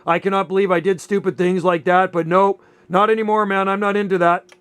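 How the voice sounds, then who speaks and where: A man's voice: high voice, level tone, a little fast, fairly bright tone, clear, resonant tone. A man in his late fifties chatting casually as a radio operator, with folksy, easygoing phrasing. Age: late 50s. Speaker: amateur radio operator and channel host. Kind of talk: casual two-way radio chat.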